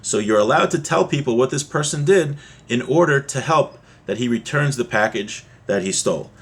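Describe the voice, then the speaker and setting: A man's voice: medium pitch, lively intonation, normal speed, a bit bright, husky. A man giving an informal religious lesson as a teacher, explaining a text with everyday examples.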